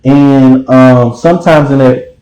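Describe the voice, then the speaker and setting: A man talking as an interview guest in a casual chat, dramatic and expressive, rambling with fillers and restarts. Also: mid-range voice, slow. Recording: roomy echo.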